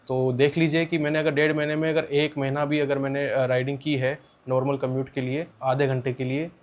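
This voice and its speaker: An Indian man giving a casual spoken product review, explaining things plainly and repeating points for emphasis. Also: medium pitch.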